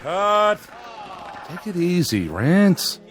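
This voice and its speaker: An actor playing a weary television director who gives clipped, matter-of-fact instructions. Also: male voice, high voice, very animated, drawn-out words, fairly bright tone, faint music behind, exaggerated voice. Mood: brisk commanding. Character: brisk, weary TV Western director keeping order.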